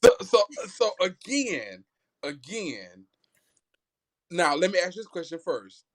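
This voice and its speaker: A man's voice: high voice, sing-song, slow. A man in his thirties speaking emphatically in a heated casual discussion, repeating words as he starts his points. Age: 30s. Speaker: discussion panelist.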